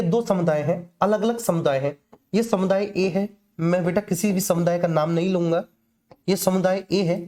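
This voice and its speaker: A teacher with a soft-edged, very mellow voice leads an exam-prep lesson. The delivery is explanatory, with key phrases said again and frequent checks that the students are following. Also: mid-range voice. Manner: repeats phrases, checks understanding, addresses students as children.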